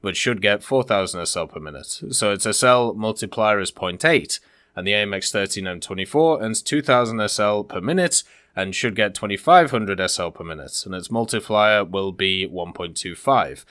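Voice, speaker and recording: A man with a fairly low voice delivers casual gaming commentary. He shifts between reading text out and explaining it conversationally, with small asides along the way. The sound is close and dry, with very little room in it. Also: expressive, normal speed, fairly bright tone, no echo. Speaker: gaming content creator and host.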